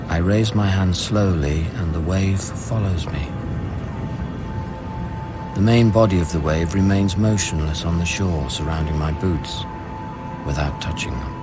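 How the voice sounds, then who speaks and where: Very deep-voiced, brooding spaceman. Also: a little slow. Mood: absorbed, wondering. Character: grieving, brooding male space scientist on an alien world. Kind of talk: radio drama acting with first-person narration. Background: music.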